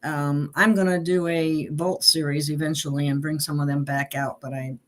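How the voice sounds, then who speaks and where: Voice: medium pitch, level tone, moderate pace, fairly bright tone. A show host chatting casually, rambling and laughing often between remarks. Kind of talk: casual online chat.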